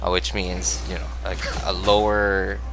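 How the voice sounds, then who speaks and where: A man's voice: low voice, level tone, a little slow, creaky, music in the background, low-quality recording. A young man from the Philippines, chatting casually and apologetically with viewers as a game livestreamer, rambling and self-deprecating.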